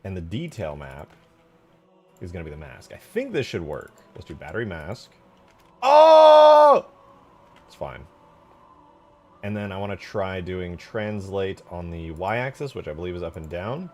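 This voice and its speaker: A man's voice: sits low, lively intonation, moderate pace, a little hiss or hum. A man livestreaming a game modding session in a casual, slangy, jokey way, thinking aloud as he works. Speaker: live streamer and game modder. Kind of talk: casual livestream chat and screen tutorial. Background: music.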